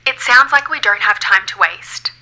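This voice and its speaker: A woman playing a ghostly woman in an audio drama, relieved to be heard, brisk and blunt. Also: mid-range voice, bright, forceful tone, poor audio. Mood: relieved, wry. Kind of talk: audio fiction acting.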